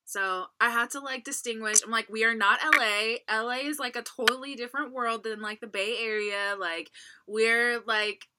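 A woman's voice: a little high, fairly even intonation, normal speed, bright, forceful tone. A young woman from California with a Californian accent, hosting a casual chat over a video call, bubbly, laughing often and saying "like" a lot. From California, USA. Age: young adult. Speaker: podcast host.

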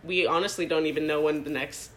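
A woman's voice: low voice, steady tone, quick pace. A young woman from Ohio co-hosting a casual podcast, playful and laughing often, recorded without a proper microphone. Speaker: podcast co-host. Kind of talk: casual chat. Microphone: game controller, no microphone.

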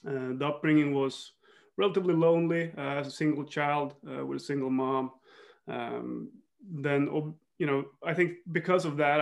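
Man, interview guest; gravelly, measured, searching for words. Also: medium pitch. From northern Sweden. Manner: thoughtful, many restarts and fillers, laughs often. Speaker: guest, football coach. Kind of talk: reflective interview talk.

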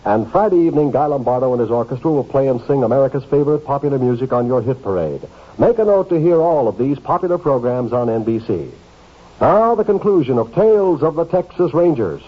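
A man's voice: medium pitch, lively intonation, a little fast, breathy edge. A man announcing a network promo in polished 1950s radio style. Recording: phone-like narrow old radio sound.

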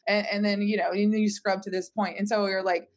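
A woman's voice: medium pitch, level tone, fast. A woman talking casually as a panel guest, explaining things informally and acting out imagined remarks as she goes. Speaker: startup team member, panel guest.

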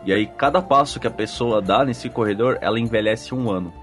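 A man's voice: fairly low pitch, fairly quick, fairly bright tone, soft background music. A man with a Brazilian accent chatting casually as a podcast co-host, explaining things in a colloquial way. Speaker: podcast co-host and game master. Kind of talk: casual chat answering listener feedback.

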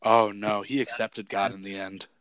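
A man's voice: fairly low pitch, level tone, moderate pace, a bit bright, clean tone. A young man co-hosting a casual podcast chat, joking crudely and swearing freely. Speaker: podcast co-host.

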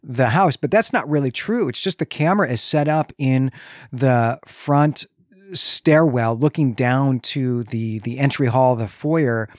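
A man's voice: medium pitch, a little slow, no echo, clean studio sound. An American man from Chicago co-hosting a casual film discussion, thinking aloud and correcting himself as he goes. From Chicago, United States. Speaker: podcast co-host.

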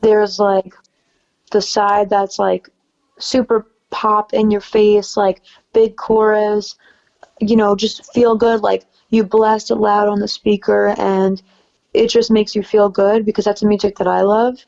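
A young woman with a soft, raspy, low-leaning voice speaks in a relaxed, chatty way, full of "like" and "you know." Her tone is warm and mellow. The audio sounds a little muffled and dull. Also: measured pace. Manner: relaxed, chatty, many fillers. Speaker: singer-songwriter guest. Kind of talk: casual interview chat.

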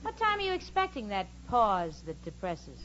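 A woman's voice: mid-range voice, wildly swooping pitch, moderate pace. An American woman playing a sensible, sardonic single working woman in a 1940s radio comedy. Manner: sardonic, crisply theatrical delivery. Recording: muffled old radio broadcast, noisy studio audience.

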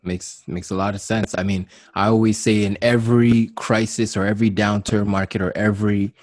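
A man's voice: on the low side, little pitch movement, brisk, darkish tone, no room sound. A man hosting a podcast interview, affirming his guest and often repeating words as he talks. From Canada. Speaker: podcast host. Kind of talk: casual interview chat.

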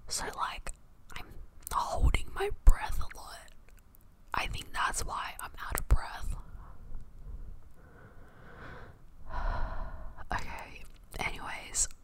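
A woman whispering into a close mic in a casual ASMR chat, softly repeating words and a little out of breath. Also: slow pace. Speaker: podcast host. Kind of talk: whispered ASMR chat doing online quizzes.

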